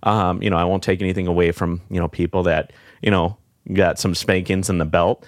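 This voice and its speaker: A middle-aged man with a very low, deep voice. He talks in a reflective, halting way, circling back with fillers and restarts. The sound is close and dry, with no room around it. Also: little pitch movement, dry recording. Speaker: podcast guest. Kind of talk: casual heartfelt conversation.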